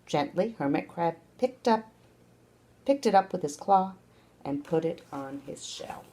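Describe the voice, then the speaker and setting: A woman storyteller reads to children in deliberate, expressive phrases. Her voice sits a little low for a woman and moves through wide, lively rises and falls. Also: a little hiss or hum. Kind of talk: children's story read-aloud.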